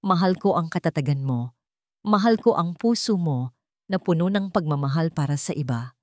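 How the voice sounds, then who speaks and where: A woman voicing an earnest, tender young man in love, speaking gently in a storybook romance. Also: sits low, expressive, normal speed, dry recording, clean studio sound.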